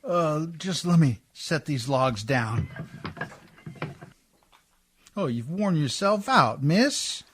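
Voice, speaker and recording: A man's voice: on the high side, very animated, slow pace, long pauses, a bit bright, breathy edge, full character voice. An actor in a radio play playing a humble, plucky riverboat man with folksy, rustic diction, deferential and plain-spoken. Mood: earnest, folksy.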